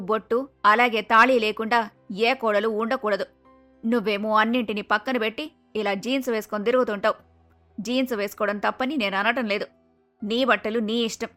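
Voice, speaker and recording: A traditional middle-aged mother-in-law in a cartoon moral story, played by a woman. Her voice is high, and she chides and pleads in an anxious, reproachful way. Music sits behind the voice. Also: sharp tone. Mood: pleading. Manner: chiding, pleading. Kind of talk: animated moral story dialogue.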